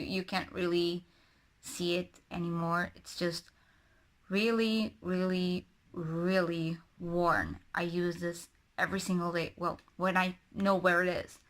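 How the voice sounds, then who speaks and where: A woman's voice: fairly low pitch, a bit bright. A young woman from Portugal, chatting casually and rambling with many self-corrections while doing her makeup. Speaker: book and beauty vlogger.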